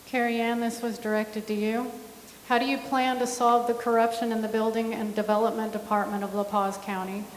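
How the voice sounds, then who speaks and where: A woman moderating a debate, reading questions in a brisk, plain delivery. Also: fairly high pitch, flat delivery. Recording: echoey hall with faint hiss.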